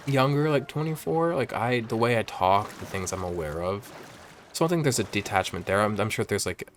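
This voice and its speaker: Rambling animated man in his twenties. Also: slight background noise. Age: 20s. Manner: rambling, animated, swings high when excited. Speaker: vlogger. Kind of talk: casual chatty monologue. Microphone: phone camera.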